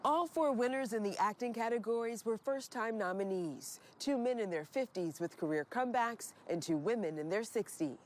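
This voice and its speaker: A woman reads a scripted news report as a correspondent. Clear ambient noise runs behind her narration. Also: fairly high pitch, expressive, moderate pace, few pauses, a little mellow.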